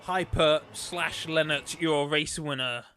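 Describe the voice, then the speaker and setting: A man's voice: fairly high pitch, fairly even intonation, slow pace, sharp tone, faint music behind. A man commentating a sim racing league on a live stream, chatty and rambling, losing his voice. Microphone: desktop microphone.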